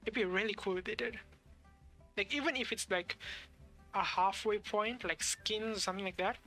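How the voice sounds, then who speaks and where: A woman's voice: mid-range voice, normal speed, a bit bright. A young woman giving casual game commentary as a streamer, musing aloud and searching for words as she goes. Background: game music.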